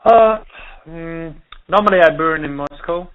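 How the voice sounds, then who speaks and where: A man from Russia with a Russian accent, speaking halting English as a DJ being interviewed, pausing to search for words. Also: on the high side. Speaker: DJ and producer. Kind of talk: interview over the phone. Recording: tinny phone line.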